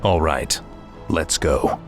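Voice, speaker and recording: A fallen prince serving as a death knight in a video game. The voice is low and cold, with a haughty, commanding delivery over soft music. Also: male voice, slow pace. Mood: brisk, commanding. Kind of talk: video game cutscene acting.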